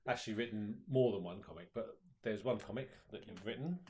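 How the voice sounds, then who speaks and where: A man's voice: fairly low pitch, brisk, a little mellow, a little hiss or hum. A man chatting casually on a live stream about upcoming comic projects, hesitant with many ums and restarts. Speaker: comic publisher co-host.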